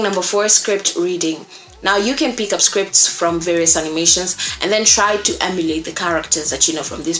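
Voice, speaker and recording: A woman's voice: medium pitch, a bit bright, rough recording. A woman from Kenya with a Kenyan accent, teaching voice acting in an earnest, coaxing way and often checking in with her listeners. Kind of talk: instructional talk to camera.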